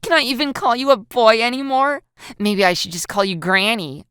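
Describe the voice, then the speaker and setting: A woman's voice: fairly high pitch, moderate pace, fairly bright tone, clear, resonant tone, dry recording, studio quality, voice pushed up, close to an everyday voice. A woman voicing an amused, teasing teenage schoolgirl in a dramatized audio story, gasping and breaking into giggles as she talks. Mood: playful disgust.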